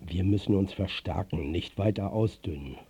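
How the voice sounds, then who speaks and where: An actor playing a world-weary, sardonic football manager who narrates a radio drama in a husky, blunt, confiding way. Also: male voice, low voice, normal speed, dark tone, dry recording, heavily acted. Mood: dry, grudging. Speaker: actor narrating as the lead character.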